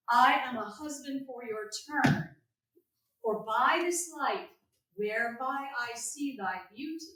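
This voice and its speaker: Brash male suitor played by a woman, swaggering, declamatory Shakespearean stage comedy, high-pitched, distant from mic. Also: sharp tone, exaggerated voice. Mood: bold, insistent. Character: brash, bold male suitor bent on taming his bride. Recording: very echoey room, far from mic.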